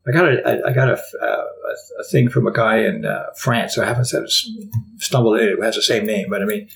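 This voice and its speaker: An older Canadian man being interviewed, rambling and halting with frequent restarts. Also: on the low side, gravelly, rough recording. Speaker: podcast guest. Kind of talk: casual interview conversation.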